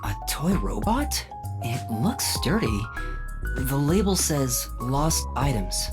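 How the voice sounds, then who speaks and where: A young trainee detective in a video game, earnest and a little unsure. The pitch sits low but moves about a great deal as the detective thinks aloud, with music underneath. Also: female voice, big pitch swings, slow pace, heavily acted. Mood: puzzled. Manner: musing, self-questioning.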